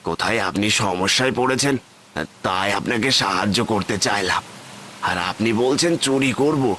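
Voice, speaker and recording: A man's voice: on the low side, normal speed, bright, forceful tone, a little hiss or hum. A man voicing a humble, earnest poor village porter in a cartoon moral tale, deferential and pleading. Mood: hurt.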